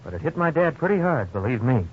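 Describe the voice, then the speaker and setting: An actor playing a worried young husband, frank and resigned, in a 1950s radio drama. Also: male voice, medium pitch, big pitch swings, fast talker, lightly acted. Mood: rueful. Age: young adult. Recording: dull, hissy 1950s radio recording.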